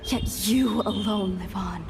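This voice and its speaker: A woman's voice: mid-range voice, takes it slowly, creaky. A woman playing an accusing, haunting figure from the past in a video game. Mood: bitter, accusing. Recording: long reverb, noisy ambience.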